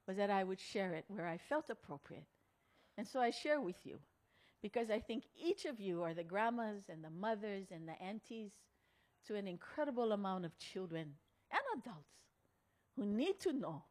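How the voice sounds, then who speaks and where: A middle-aged or older woman, giving a motivational storytelling speech in an earnest, deliberate way with dramatic pauses. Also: medium pitch. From Hawaii. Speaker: speaker at a women's conference.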